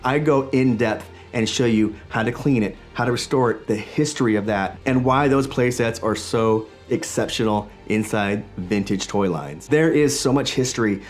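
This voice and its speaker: An enthusiastic man in his forties talks casually to his camera from a small home studio, with a slight rasp left over from being sick. His pitch sits in a plain middle range, and he chats along in a lively, friendly flow. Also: normal speed, hardly any pauses, soft background music. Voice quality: slightly raspy voice. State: recovering from illness. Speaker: toy collecting channel host. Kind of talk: casual vlog talk to camera.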